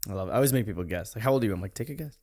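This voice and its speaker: A young man in his twenties hosting a casual podcast chat, speaking in a calm, thoughtful, reflective way. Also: lowish voice, very fast, creaky. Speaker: podcast host.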